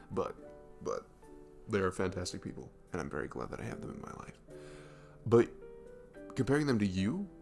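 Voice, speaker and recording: Man playing a low-voiced, affectionate, rambling best friend. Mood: fond, earnest. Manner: rambling, gushing, informal, chuckling between phrases. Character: goofy, affectionate young man smitten with his best friend. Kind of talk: romantic ASMR roleplay audio, casual improvised-sounding chat.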